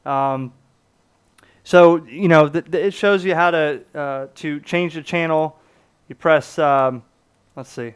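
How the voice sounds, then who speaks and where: A man's voice: fairly high pitch, slow pace, long pauses, clean tone. A man presenting a casual gadget demonstration, explaining things conversationally and often checking in with the listener. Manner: conversational, explanatory, poses questions to himself and checks in with "okay?". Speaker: product reviewer and presenter.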